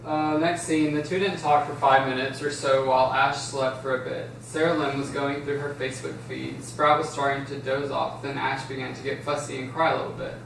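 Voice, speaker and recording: A man's voice: mid-range voice, flat delivery, brisk, vocal fry, few pauses, a bit bright. A man reading scripted stage directions aloud at a table read, sometimes stumbling and restarting. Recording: very echoey room, distant mic, background hiss.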